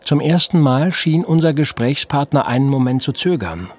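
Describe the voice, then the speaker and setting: A man narrating an old-fashioned detective tale in a measured, formal first-person voice. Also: mid-range voice, lively intonation, a little mellow, natural voice. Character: measured male storyteller, scholarly.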